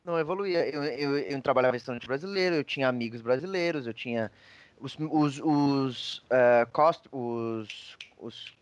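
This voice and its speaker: A man's voice: mid-range voice, moderate pace, no room sound. A man with a Brazilian accent telling personal anecdotes in a casual, slangy, rambling conversational way.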